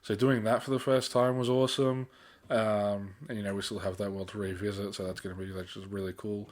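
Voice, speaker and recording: A young adult man with an Australian accent and an ordinary mid-pitched voice. He talks like a podcast co-host among friends, loose and slangy, wandering through his thoughts in a laid-back way. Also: little pitch movement, brisk. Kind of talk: casual chat.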